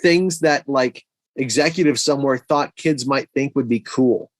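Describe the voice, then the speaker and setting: A man's voice: mid-range voice, normal speed, no echo, studio quality. A middle-aged man co-hosting a podcast, chatting casually and offering commentary. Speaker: podcast co-host.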